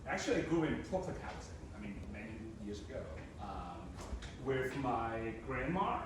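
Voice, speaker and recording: Male guest speaker, 30s, conversational, mid pitch, very roomy and distant, noisy. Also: sing-song, moderate pace. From Hong Kong. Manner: conversational, addressing the audience directly. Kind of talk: public talk to students. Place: lecture room. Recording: roomy, distant mic with background noise.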